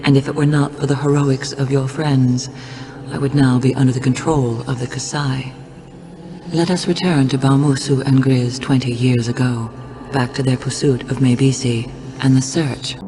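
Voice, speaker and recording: A man's voice: medium pitch, flat delivery, moderate pace, warm tone, a little hiss or hum, close to an everyday voice. A man acting a solemn, wise oracle in a video game, speaking in a formal, stately way. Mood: solemn, explanatory. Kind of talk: dramatic scripted game narration.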